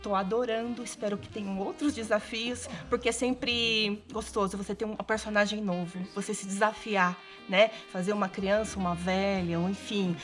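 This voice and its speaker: A woman's voice: mid-range voice, fairly even intonation, normal speed, few pauses. A Brazilian woman, a voice actress, talking casually about her work in an interview. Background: background music.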